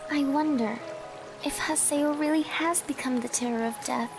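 A woman voicing a timid, high-pitched catgirl. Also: fairly even intonation, moderate pace, music in the background. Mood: unsure. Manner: unsure and questioning, soft-spoken. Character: timid, doubtful young catgirl. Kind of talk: amateur anime fan dub.

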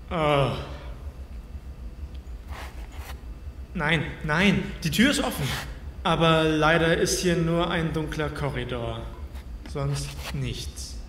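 An echoing space carries the high voice of a man playing an anxious, sarcastic captive in a horror audio drama. He speaks slowly and haltingly, with a bright, tense edge. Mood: relieved, tense. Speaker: voice actor. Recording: echoey hall reverb, faint background noise.

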